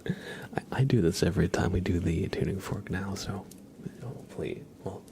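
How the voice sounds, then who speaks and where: A man hosting an ASMR livestream, chatting to viewers gently in a soft, breathy whisper that slips into a murmur. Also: moderate pace. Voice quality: breathy whisper mixed with low, creaky murmur.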